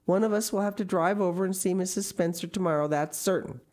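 A woman voicing a stern, sharp-tongued older woman from a storybook, scolding in a brisk, curt, emphatic way. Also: low-pitched, expressive. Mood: indignant, wrathful. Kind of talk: storybook character reading.

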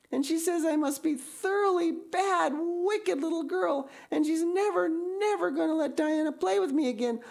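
Woman acting a high-pitched, melodramatic young girl. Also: vocal fry, a little mellow. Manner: gushing, melodramatic, emphatic. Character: dramatic, talkative, earnest young girl, easily distressed. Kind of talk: storybook character voice.

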